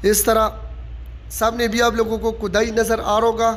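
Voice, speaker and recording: A man's voice: sits high, normal speed. A man walking through a stadium construction site, steadily narrating updates and pointing things out to viewers. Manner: steady informal commentary, repeats "you can see". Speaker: vlogger giving site updates. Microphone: handheld phone camera. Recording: long reverb, faint site noise.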